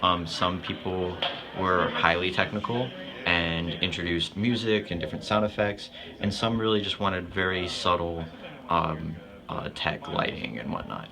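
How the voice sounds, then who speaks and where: Deep-voiced male interviewee, plenty of vocal fry, hesitant delivery, crowd noise behind. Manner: hesitant, pausing often. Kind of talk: casual interview answers. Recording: crowd chatter in the background.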